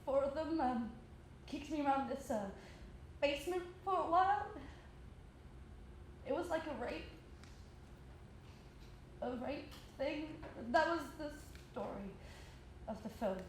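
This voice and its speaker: A woman playing a battered, embarrassed young woman in a live stage play, halting and evasive. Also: sits high, lively intonation. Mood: ashamed, hopeless. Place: indoor stage, recorded live. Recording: echoey, noisy live theatre recording.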